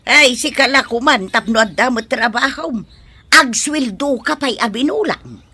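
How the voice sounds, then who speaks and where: A woman's voice: a little high, animated, normal speed, bright, forceful tone, husky, full character voice. A woman with an Ilocano accent playing a nosy, grumbling rural mother in a radio drama. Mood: grumbling, goading. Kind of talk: Filipino radio drama acting.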